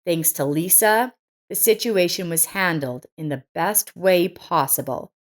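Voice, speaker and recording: A woman's voice: on the low side, measured pace. A woman reading a scripted life story as its narrator.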